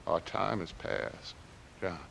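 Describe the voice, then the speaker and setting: An actor playing a defeated, philosophizing aging outlaw leader with an American accent, measured and grandiose, in a video game drama. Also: male voice, deep voice, sing-song, gravelly, fairly bright tone, a little hiss or hum, heavily acted. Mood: resigned, somber.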